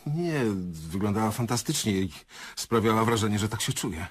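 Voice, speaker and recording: An actor in a radio play playing a shaken, evasive theatre director who speaks haltingly. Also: male voice, fairly low pitch, animated, slightly rough. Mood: guarded, subdued. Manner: hesitant, halting. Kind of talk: radio drama acting.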